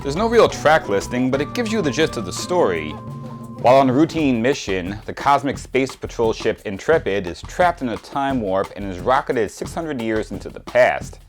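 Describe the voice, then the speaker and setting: A man in his early forties delivers scripted review narration with a wry sense of humour. His mid-pitched voice moves up and down in a lively way, with music playing behind. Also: normal speed. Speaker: record reviewer, presenter.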